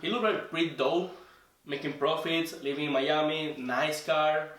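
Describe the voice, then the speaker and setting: A young man from Venezuela with a strong Spanish accent. He speaks English as a second language, earnest and halting, and repeats words while he searches for the English. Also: fairly high pitch, a bit bright. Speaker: trading student guest. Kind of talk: casual interview storytelling. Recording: echoey room, voice from a distance.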